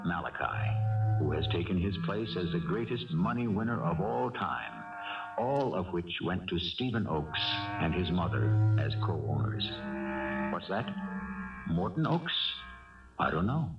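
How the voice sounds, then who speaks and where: A man hosting a radio drama with dramatic, measured, portentous scripted narration. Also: deep voice, very animated, vocal fry, distant mic. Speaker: host and narrator. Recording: muffled 1970s radio, loud music behind.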